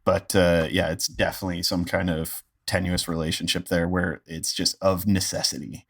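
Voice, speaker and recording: Deep-voiced, deadpan man, dry and close. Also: moderate pace, creaky, no room sound. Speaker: podcast guest, voice actor. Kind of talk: casual interview chat.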